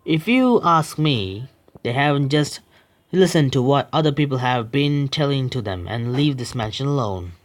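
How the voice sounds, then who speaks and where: A young man reading a horror visual novel aloud, stumbling and correcting himself with quick apologies and reactions. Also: mid-range voice, lively intonation, a little hiss or hum.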